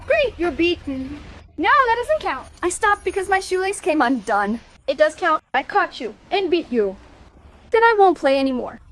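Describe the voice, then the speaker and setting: A woman playing a bold, pushy young girl who teases and coaxes, in an audio drama. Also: sits high.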